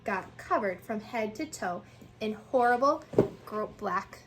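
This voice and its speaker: A woman reading a children's picture book aloud in an animated way, sometimes stumbling and rereading words. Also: medium pitch, measured pace, very noisy.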